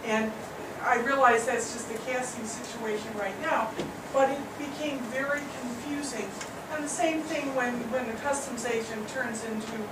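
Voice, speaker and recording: A woman giving a spoken critique of a play in a group discussion. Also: on the high side. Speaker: audience member giving feedback on a play. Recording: roomy, distant, noisy live recording.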